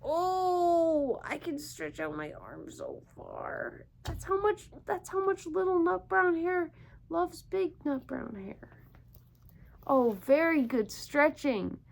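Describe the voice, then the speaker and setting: High, animated woman storyteller, unhurried. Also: creaky. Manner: encouraging, asks questions and repeats them. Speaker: children's storyteller. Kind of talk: interactive picture-book read-aloud for young children.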